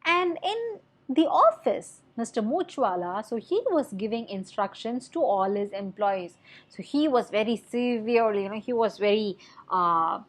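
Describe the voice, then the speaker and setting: A woman's voice: a little high, expressive, measured pace. A young woman with an Indian accent tells a children's story expressively, with questions and emphatic repeats. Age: young adult. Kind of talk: animated read-aloud storytelling for kids. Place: small indoor room at home.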